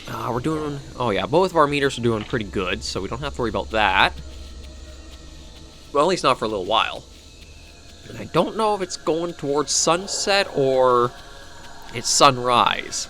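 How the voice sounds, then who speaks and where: A man's voice: medium pitch, animated, moderate pace, pauses often, sharp tone, soft background music. A man with a Canadian accent who is coming down with a cold, giving casual, rambling gameplay commentary as he thinks aloud. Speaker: gaming video host.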